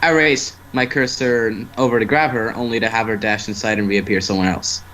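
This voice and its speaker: A man's voice: lowish voice, fairly even intonation, a little fast, sharp tone. A man narrating fan fiction casually as an amateur storyteller, now and then stumbling over the script. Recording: noisy amateur online stream.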